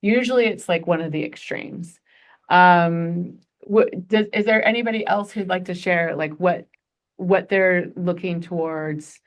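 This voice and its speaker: A woman's voice: fairly low pitch, steady tone, measured pace, muffled recording. A woman in her forties leading a goal-setting workshop, chatty and upbeat, laughing often. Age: 40s. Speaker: workshop facilitator.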